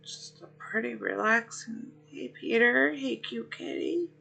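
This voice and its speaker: A man hosting a laid-back stream, his voice worn hoarse and deeply gravelly with heavy fry. He talks slowly and unhurriedly, dropping friendly hellos to viewers between pauses. Faint music plays softly behind him. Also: poor audio. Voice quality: rough, gravelly. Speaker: livestream host. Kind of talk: relaxed gaming stream chat, greeting viewers.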